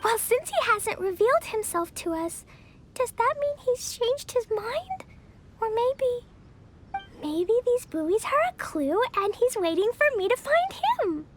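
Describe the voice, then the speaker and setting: A woman voicing an earnest, brave and innocent little girl adventurer in an animated video game. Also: very high-pitched, unhurried, slight background noise, heavily acted. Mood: curious. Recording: faint music behind.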